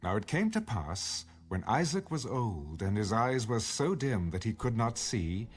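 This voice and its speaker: A man's voice: lowish voice, big pitch swings, measured pace, husky. A man narrating scripture in a dramatic audiobook style. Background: music.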